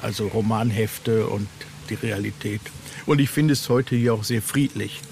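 A man's voice: lowish voice, normal speed, darkish tone. A man from Ostwestfalen in Germany chatting casually as an interviewed author, easygoing and a little hesitant. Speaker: horror novelist being interviewed. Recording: noticeable ambient noise.

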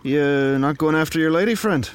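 An actor playing a chatty, nosy, wry bartender with a folksy, teasing way of talking in a 1930s noir audio drama. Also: male voice, fairly high pitch, moderate pace, clean tone, natural voice.

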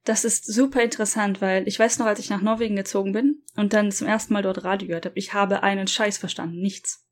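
A woman's voice: mid-range voice, fairly even intonation, quick pace. A young woman in casual conversation who laughs often, swears freely and often restarts her sentences. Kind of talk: casual chat. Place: lives in Japan.

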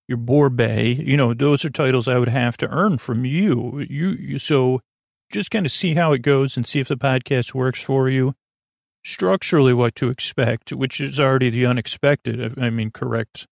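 Guy doing a sleepy-time podcast monologue, lots of vocal fry, stumbles and restarts, goes off on tangents, really dry sound. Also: mid-range voice, no echo. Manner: meandering, halting, with stammered restarts and tangents. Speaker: podcast host. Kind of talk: rambling bedtime podcast monologue.

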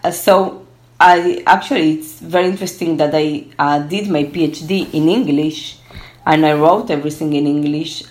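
A woman in her thirties, a Spanish speaker, talking reflectively and haltingly as a guest in a conversational interview. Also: sits low, a little mellow. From born in Argentina, moved to Israel at twelve. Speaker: interview guest, gerontology researcher.

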